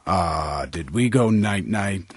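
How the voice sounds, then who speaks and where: A man's voice: low voice, expressive, slow pace, slightly rough, exaggerated voice. An actor playing a casual, mocking henchman with an offhand, colloquial way of talking, in a radio drama. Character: casual, mocking male henchman guarding a captive. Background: music.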